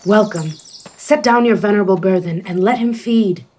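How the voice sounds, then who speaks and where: A woman's voice: medium pitch, normal speed, warm tone, close to an everyday voice. A woman playing a noble, commanding duke in a Shakespearean radio play. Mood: gracious.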